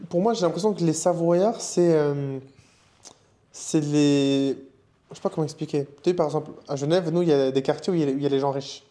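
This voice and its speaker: Fast-talking slangy young man. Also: fairly high pitch, dark tone. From Morocco, raised in Canada and Switzerland. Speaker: guest. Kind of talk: casual interview chat.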